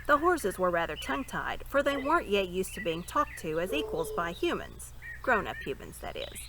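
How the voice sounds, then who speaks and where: A woman reading a story aloud in an expressive narrating style. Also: fairly low pitch, moderate pace, noisy background. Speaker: storybook reader.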